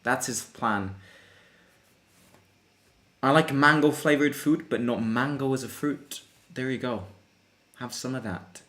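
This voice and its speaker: A young man from Italy with a voice of ordinary, middling pitch hosts a live football stream for fellow fans. He talks in a loose, chatty way, reads out viewers' questions and jumps into excited calls when something happens on the pitch. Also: slow, long pauses. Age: young adult. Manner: chatty, answering chat questions between bursts of excited play reactions. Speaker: fan channel host. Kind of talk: casual live football watchalong chat.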